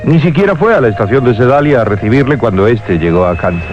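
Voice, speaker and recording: A man's voice: medium pitch, lively intonation, fast, close to an everyday voice. A man narrating an eerie radio drama as a dramatic storyteller of midnight tales. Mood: ominous. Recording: dull radio recording, music behind.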